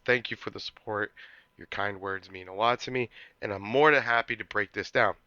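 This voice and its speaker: A man hosting a gaming channel, talking through a strategy walkthrough while getting over a cold, now and then clearing his throat and restarting his sentences. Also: fairly low pitch, steady tone, a little fast, bright, forceful tone, no echo, muffled recording. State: recovering from a cold.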